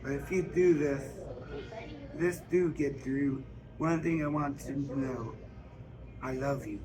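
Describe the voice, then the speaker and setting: A man's voice: medium pitch, slow, a crowd in the background. A man reading a novel aloud, delivering first-person narration written in Southern dialect. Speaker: book reader. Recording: noisy public store ambience.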